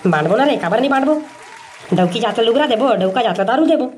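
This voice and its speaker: Casting: woman for pushy male candidate in cartoon comedy, raised pitch, colloquial Chhattisgarhi accent, scolding manner. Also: lively intonation, fast talker, a little mellow, some chatter behind, slight background noise, lightly acted. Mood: boastful, persuading. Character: brash, bossy male village election candidate bribing voters. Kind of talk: comic cartoon dialogue.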